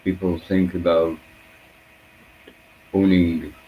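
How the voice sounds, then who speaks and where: A man, a Hebrew speaker, giving an unscripted reflective spiritual talk in halting, fragmented phrases with searching pauses. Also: deep voice, little pitch movement, narrow, tinny audio, slight background noise.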